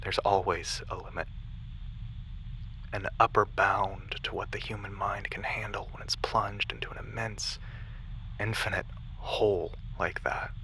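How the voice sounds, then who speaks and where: Casting: man to play determined, defiant mission-control major for sci-fi audio drama; sharp, forceful delivery with weary, hushed drops, over faint hum. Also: low voice, exaggerated voice, rough recording. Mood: grim, regretful. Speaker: voice actor in audio roleplay.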